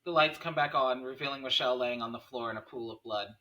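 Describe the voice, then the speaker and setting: A man's voice: medium pitch, quick pace, pushed and bright, rough recording. A man narrating scene headings and stage directions at a screenplay table read.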